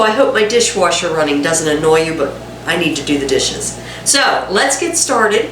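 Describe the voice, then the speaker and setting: Home cook host with a cold, woman, stuffy nasal tone, chatty and self-mocking, echoey kitchen, mic set back, loud sizzling pan. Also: medium pitch, recorded from a distance, a little hiss or hum. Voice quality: congested and nasal. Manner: chatty, self-deprecating asides to viewers. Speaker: cooking channel host. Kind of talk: casual home cooking demonstration. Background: frying sizzle and a dishwasher running.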